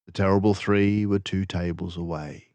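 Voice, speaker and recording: An older man reading a children's story aloud in an expressive, unhurried way. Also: low-pitched, no echo. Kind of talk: scripted narration.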